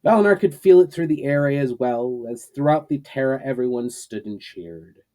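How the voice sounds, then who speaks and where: Male author-host for storytime readings, average pitch, presenting his own fiction. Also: lively intonation, normal speed, warm tone. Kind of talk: dramatic story narration and casual sign-off.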